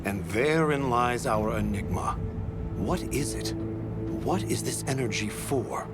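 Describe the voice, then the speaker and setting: An actor playing an inquisitive, enthusiastic researcher in a game, articulate and slightly theatrical. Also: male voice, medium pitch, a little slow, natural voice. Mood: intrigued. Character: inquisitive, enthusiastic male researcher explaining discoveries. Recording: music under the voice.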